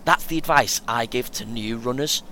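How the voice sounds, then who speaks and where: Audio play: male actor as cocky, earnest young British runner, chatty know-all, bright edge, average pitch, light engine rumble underneath. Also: normal speed, lightly acted. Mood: advising, keen. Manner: earnest, self-important, chatty, chuckles often. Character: chatty, boastful, eager young amateur marathon runner. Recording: faint vehicle noise behind. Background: vehicle rumble, coach ambience.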